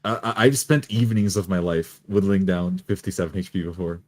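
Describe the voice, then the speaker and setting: A man's voice: low-pitched, brisk, darkish tone. A man who plays in a tabletop game, chatting casually and with enthusiasm about it. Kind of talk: casual chat.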